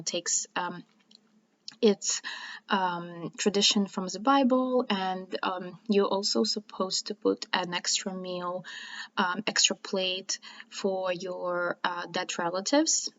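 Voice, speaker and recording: A young woman with a Ukrainian accent talks casually to her camera, filling pauses with ums and uhs. Her voice sits a bit low for a woman. The sound is dull and lo-fi. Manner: chatty, with many ums and uhs. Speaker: vlogger. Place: living room with a lit tree and fireplace.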